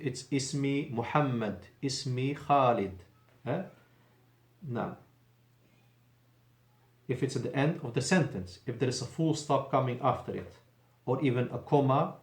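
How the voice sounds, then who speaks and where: A man's voice: a little high, a little mellow, roomy sound. A male Arabic teacher giving a patient classroom lesson in a mosque, explaining in English and repeating Arabic phrases.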